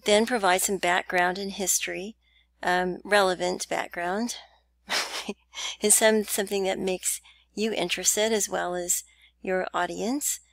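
A woman teaching essay writing over slides, talking in a relaxed, chatty way with small laughs and ums. Her voice sits at a middle pitch. The recording is dry and close, with no room sound. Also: takes it slowly, gravelly, no room sound. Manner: chatty, with light laughs and fillers. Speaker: writing instructor. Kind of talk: narrated slide lecture.

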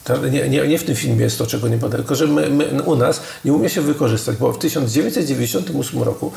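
A man co-hosting a culture podcast, talking wryly with halting restarts. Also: mid-range voice, creaky, darkish tone. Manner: wry, ironic, halting restarts. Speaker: podcast co-host, culture critic. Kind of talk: casual conversation about films. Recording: echoey room.

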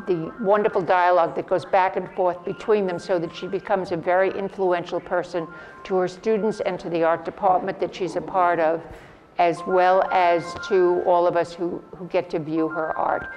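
A woman hosting an art salon, giving a measured, formal introduction of the evening's speaker. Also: lowish voice, darkish tone. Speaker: host of art salon talks.

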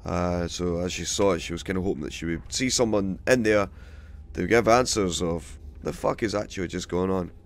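A man with a cold, hoarse and gravelly, casually commentating a game he plays and swearing freely. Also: sits low, moderate pace. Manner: swears freely, rambling and chatty. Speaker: let's play host.

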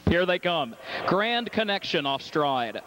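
A man with a high, bright voice announces a harness race at a fair track, running through the entries and then calling the field as the horses pass. Faint outdoor hiss sits under his voice. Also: low-quality recording. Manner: rapid, rising excitement in the stretch call. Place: racetrack announcer's booth at a fair.

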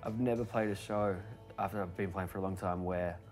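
A young adult man, chatting casually as a musician guest in a bar booth, relaxed and rambling with fillers. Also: sits low, fairly quick, music in the background. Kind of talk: casual interview chat.

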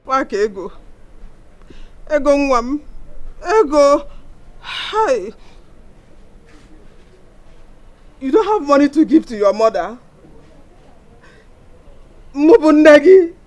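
A woman's voice: sits high, very animated, slow, pauses often, a little mellow, pitched above the performer's own voice, heavily acted. A woman voicing an older, poor Nigerian village mother who pleads, complains and guilt-trips, switching into Igbo. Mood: pleading. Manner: wailing, guilt-tripping, mixes Igbo into English.

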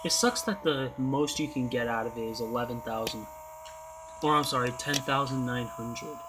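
Hoarse, tired young man narrating gameplay. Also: medium pitch, normal speed, background noise. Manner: tired, rambling, trailing off. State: hoarse, losing his voice. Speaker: gaming channel host. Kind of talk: casual game commentary.

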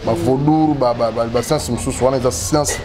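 A man's voice: mid-range voice, fairly even intonation, normal speed, over music. A man from Congo being interviewed on a studio set, giving rambling, repetitive answers. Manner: rambling, repetitive, mixing languages. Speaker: interview guest, self-described magician. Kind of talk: casual interview. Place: small studio with a branded backdrop. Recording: noisy studio recording.

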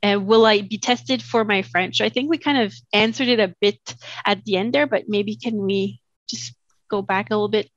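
A woman in her thirties co-hosting a bilingual webinar over a video call, upbeat and quick to laugh, moving between English and French. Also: mid-range voice, steady tone, no echo. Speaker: webinar co-host. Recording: dull, dry video call audio.

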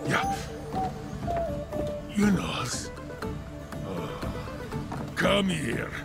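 A man's voice: sits high, huge pitch swings, very slow, gravelly, loud music behind the voice, heavily acted. A man playing a dim-witted, boastful, musclebound Spartan champion in a video game, talking childishly in broken grammar. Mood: simple, cheerful. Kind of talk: video game cutscene acting.

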